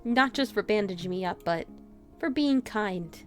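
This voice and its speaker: A woman voicing a shy, grateful wounded outcast in a visual novel, speaking softly and hesitantly. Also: medium pitch, expressive, normal speed, faint music behind, close to an everyday voice. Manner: soft, hesitant.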